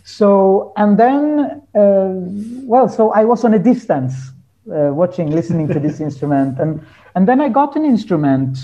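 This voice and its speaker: High-pitched, mellow-voiced man chatting. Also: sing-song, normal speed, dull audio. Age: 30s. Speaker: guest musician, hurdy-gurdy player. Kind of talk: casual interview storytelling.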